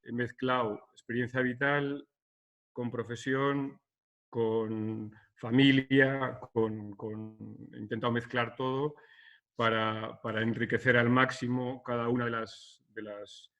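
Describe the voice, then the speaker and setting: A man's voice: medium pitch, level tone, slow, fairly bright tone. A Basque architect in his fifties, speaking hesitantly with many restarts in a video-call interview. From Basque Country, Spain. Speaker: architect guest. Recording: dull lo-fi video-call audio.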